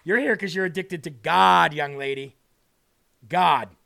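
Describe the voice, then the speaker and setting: A man with a raspy voice hosting a faith livestream, preaching and reading viewer comments aloud, often repeating phrases for emphasis. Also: on the high side, unhurried, pushed and bright. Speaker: host of a faith livestream show.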